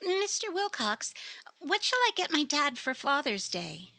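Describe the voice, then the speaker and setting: Lady doing a naive perky girl next door, old radio commercial, high and really lively. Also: unhurried, vocal fry, no room sound, faint music behind, heavily acted. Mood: perky curiosity. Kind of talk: 1950s radio commercial acting.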